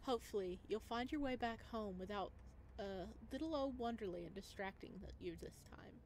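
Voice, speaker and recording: A woman's voice: on the high side, moderate pace, slight background noise. A woman reading visual novel narration aloud as a let's player, expressively, with chatty casual asides. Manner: expressive reader who breaks into chatty asides. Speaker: video game let's player. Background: background music.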